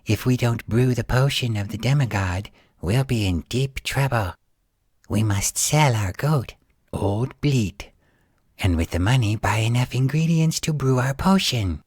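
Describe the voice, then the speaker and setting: A man voicing a female demon keeper from a bedtime storybook, theatrical and expressive. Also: medium pitch, a little slow, husky, no echo, clean studio sound, voice pushed up. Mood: worried, dramatic. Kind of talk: storybook character in bedtime audio.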